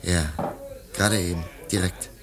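An actor playing a disillusioned, nostalgic middle-aged artist in a German radio play, with a theatrical, halting, reflective delivery. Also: male voice, low-pitched, fairly even intonation, a crowd in the background. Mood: moved, reverent.

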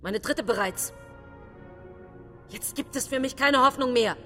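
A woman's voice: high voice, unhurried, pushed and bright, faint music behind. An actress playing a despairing widow in a radio drama, lamenting her dead as if haunted by their graves. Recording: long cavernous reverb.

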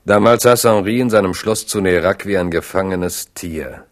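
A man narrating a literary radio play in a measured storytelling manner. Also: sits low.

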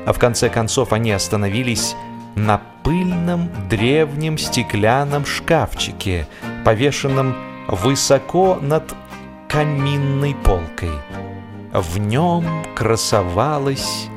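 A man reads humorous literary narration for radio in a wry, theatrical way, leaning deliberately on key words. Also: mid-range voice. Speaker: audiobook narrator, actor.

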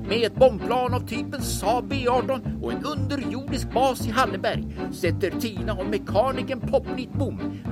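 A woman narrating in dramatic 1940s radio serial style, with a theatrical announcer's delivery. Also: fairly high pitch. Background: loud music.

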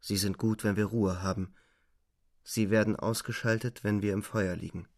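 A man playing a weary, despairing young German soldier, narrating gravely and deliberately in a literary radio play. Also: on the low side, steady tone, dry recording, clean studio sound, lightly acted. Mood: weary, reflective. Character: twenty-year-old German soldier, weary, despairing, reflective.

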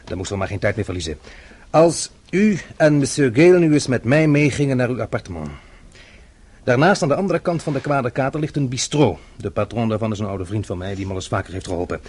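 A methodical investigator in a radio play. His medium-pitched voice is husky, with lively inflection. He is polite, businesslike and quietly decisive. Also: fast. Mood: brisk planning. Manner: courteous, businesslike. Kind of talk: Dutch radio play acting.

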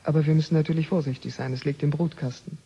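Husky, higher-pitched proud scientist. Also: male voice, steady tone, fast talker, mellow. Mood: proud, matter-of-fact. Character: proud male scientist coaching his artificial creation. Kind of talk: 1970s German radio play acting. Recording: muffled vintage radio recording.

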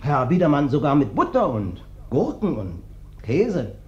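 An actor playing a sly, ingratiating vagrant guest in a German radio play, flattering and theatrical. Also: male voice, on the high side, a little slow. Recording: dull, roomy old radio recording.

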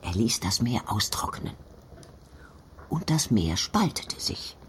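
A man's voice: on the low side, big pitch swings, takes it slowly. A firm, pious old grandmother from a postwar village. The delivery swings widely and dramatically, as in an audio drama, over faint background noise. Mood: solemn, dramatic. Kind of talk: audio drama dialogue and Bible reading.

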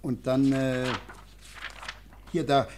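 A man playing a fussy, envious, pedantic schoolteacher in a German radio play, with an excitable, ingratiating manner. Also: mid-range voice, drawn-out words. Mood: excited. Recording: slightly noisy old radio recording.

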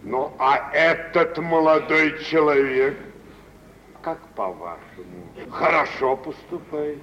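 An actor playing a self-important, wealthy older gentleman, pompous and deliberate, in an old theatrical radio drama. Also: a little high. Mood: disapproving, pointed. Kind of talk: 1940s theatrical radio acting. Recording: dull, noisy vintage radio play recording.

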